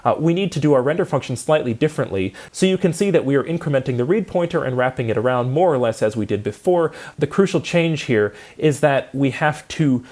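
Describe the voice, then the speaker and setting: Male lecturer, mid-pitched voice, explanatory and methodical instructional delivery. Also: expressive, a little fast, few pauses, darkish tone.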